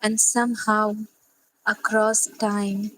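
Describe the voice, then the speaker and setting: A woman leading a guided sleep meditation, speaking gently and soothingly in short phrases with long pauses. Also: mid-range voice, flat delivery, poor audio.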